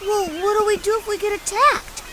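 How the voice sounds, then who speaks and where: A woman's voice: very high voice, steady tone, normal speed, background noise. A woman voicing an anxious little boy sidekick with a worried, whiny manner in a game cutscene. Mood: nervous. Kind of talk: animated video game cutscene acting.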